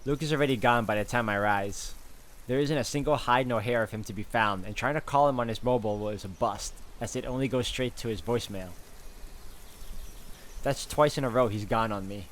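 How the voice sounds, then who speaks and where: A man's voice: mid-range voice, fairly quick, a little hiss or hum. A man reading a visual novel's narration aloud in a dramatic way, with sarcastic asides. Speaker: video game player reading narration aloud.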